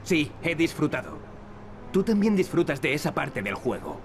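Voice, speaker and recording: An actor with a Castilian Spanish accent playing a cocky, wisecracking young adventurer in a video game. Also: male voice, a little high, big pitch swings, moderate pace, slight background noise. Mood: teasing, wry. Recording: game music behind the voice. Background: game music.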